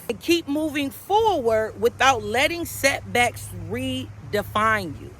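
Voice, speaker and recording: A loud, animated woman talks straight to her viewers in a slangy, swearing motivational vlog. Her voice sits high and bright. Faint traffic noise comes from outdoors behind her. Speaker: vlogger. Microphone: camera without microphone.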